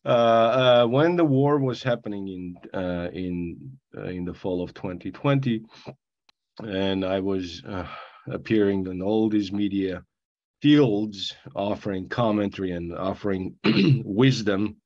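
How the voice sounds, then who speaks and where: A middle-aged man from Armenia giving a panel talk, halting with many fillers and restarts, with dry asides. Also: fairly low pitch, a little mellow, lo-fi sound. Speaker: panel guest speaker.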